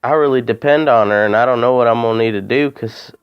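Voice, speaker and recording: A man making a complaint by phone, talking in a halting, rambling way and repeating himself. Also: medium pitch, smooth. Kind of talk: phone call, informal account. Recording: muffled phone call recording.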